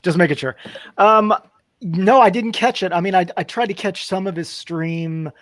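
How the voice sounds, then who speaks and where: A man's voice: high voice, moderate pace, fairly bright tone, no room sound. A man chatting casually on a panel show, apologetic and restarting his sentences.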